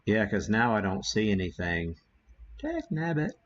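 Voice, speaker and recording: An American man hosting a casual live demo, thinking aloud in a folksy way, on muffled low-fidelity stream audio. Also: wildly swooping pitch, a little slow. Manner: folksy, mild homespun exclamations. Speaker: livestream host demonstrating ham radio gear.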